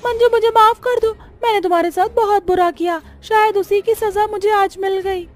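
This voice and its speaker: A woman voicing an earnest, indignant young witch ghost in a horror cartoon, shrill and dramatic. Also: fairly even intonation, moderate pace. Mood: remorseful. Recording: music behind the voice.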